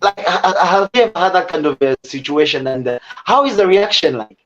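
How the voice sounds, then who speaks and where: A man hosting an interview, asking long, halting questions. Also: high voice, lively intonation, a bit bright, lo-fi sound. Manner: hesitant, halting questions with many fillers. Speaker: host.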